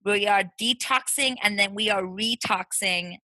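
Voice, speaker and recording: A woman's voice: mid-range voice, a little slow, bright, forceful tone, no echo, low-quality recording. A woman from Brazil with a Brazilian accent, co-hosting a podcast in casual, verbose, playful chat, recorded in a small closet. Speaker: podcast co-host.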